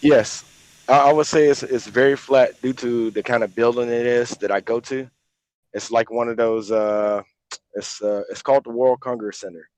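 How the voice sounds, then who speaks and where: A man calling in to a debate, answering haltingly with restarts. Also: mid-range voice, steady tone, darkish tone, a little hiss or hum, rough recording. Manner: halting, hesitant.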